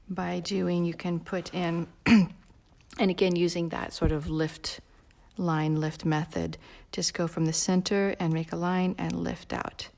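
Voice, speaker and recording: A woman with a low, gravelly voice talks viewers through a drawing step by step. She rambles easily with plenty of fillers and slips into soft near-whispers as she concentrates. Her level drifts a little as she leans toward and away from the mic. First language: not Portuguese. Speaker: drawing instructor. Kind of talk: live follow-along drawing tutorial.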